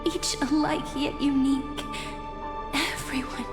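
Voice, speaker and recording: Gentle hopeful young fantasy game heroine, highish voice, really slow n tender, big reverb w music behind. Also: female voice, fairly even intonation. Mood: tender, earnest. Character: gentle, hopeful young woman who watches over time. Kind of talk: dramatic fantasy game voice acting. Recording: long reverb under clear background music.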